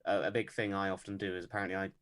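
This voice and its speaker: Male podcast guest, deep monotone, deadpan delivery, measured and hesitant. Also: slight background noise. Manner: measured, lots of ums and hedges. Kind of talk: casual interview chat.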